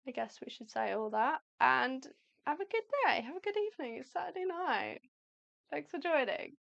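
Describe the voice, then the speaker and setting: A woman co-hosting an art livestream in a chatty, upbeat, rambling way, her voice going slightly hoarse. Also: sits high, expressive, moderate pace, fairly bright tone, dull audio. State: losing her voice. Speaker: livestream co-host, artist. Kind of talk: casual live chat.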